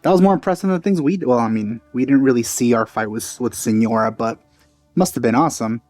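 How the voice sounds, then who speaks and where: A man giving casual, offhand commentary as he plays a video game, in low-key asides on a close mic. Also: quick pace, a little mellow, no echo, faint music behind. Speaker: let's play host.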